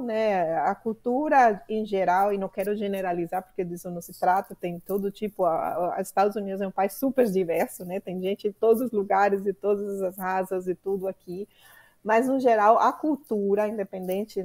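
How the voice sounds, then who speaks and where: A middle-aged woman from Colombia with a Colombian Spanish accent, talking as a podcast guest in an animated way, often pausing with fillers to find her words. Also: mid-range voice. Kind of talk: conversational interview.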